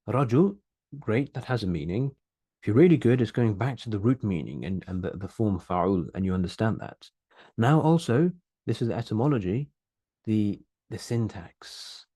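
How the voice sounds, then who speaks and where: A young man gives an online grammar lesson, speaking earnestly and often doubling words as he works through an idea. His voice is mellow and soft-edged. The sound is close and dry, as from a webcam mic in a small room. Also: fairly low pitch, no room sound. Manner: earnest, explanatory, repeats words while thinking. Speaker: Arabic grammar teacher.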